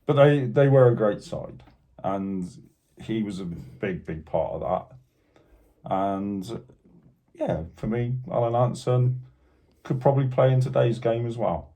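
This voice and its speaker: A man's voice: mid-range voice, slow pace, mellow. A Scottish man co-hosting a casual football chat, blunt and teasing in his opinions. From Scotland. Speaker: podcast co-host.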